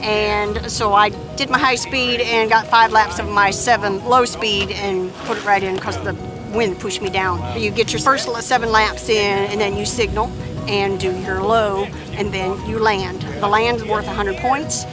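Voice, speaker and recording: A woman's voice: medium pitch, fairly even intonation, a little slow, over music. A woman from Indiana competing at a flying event, giving a casual interview with eager, rambling explanations. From Indiana, USA.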